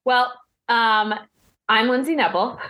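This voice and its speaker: A woman's voice: on the high side, fairly even intonation, slow pace, sharp tone. A woman co-hosting a podcast over a video call, playful and joking in casual chat. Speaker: podcast co-host.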